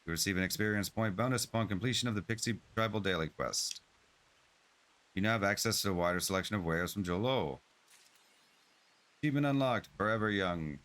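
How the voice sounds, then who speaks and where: A man streaming a game, reading its dialogue and on-screen text aloud in a plain, unhurried way. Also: lowish voice, a bit bright.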